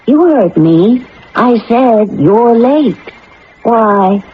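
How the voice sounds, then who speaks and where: A woman's voice: on the high side, lively intonation, drawn-out words. A woman playing an imperious artificial intelligence computer in a 1980 radio drama, speaking in a precise, formal, insistent way. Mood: indignant, demanding. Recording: dull lo-fi 1980 radio recording, faint noise.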